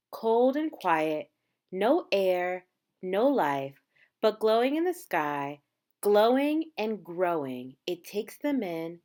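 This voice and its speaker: A woman reading a children's picture book aloud, with measured, dramatic phrasing and drawn-out words. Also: mid-range voice. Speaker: storybook reader.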